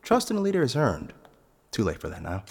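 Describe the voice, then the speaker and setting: A man playing a vengeful, cold nobleman in a fantasy audio drama, making deliberate, eloquent threats. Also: mid-range voice, wildly swooping pitch, gravelly, slightly rough, heavily acted. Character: vengeful, menacing nobleman posing as castle phantom. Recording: long hall reverb.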